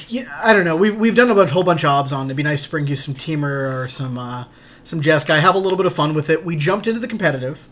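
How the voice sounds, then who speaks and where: A man's voice: on the high side, fast talker, a bit bright. A man co-hosting in a casual, easygoing and agreeable chat about a game. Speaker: podcast co-host and card game player.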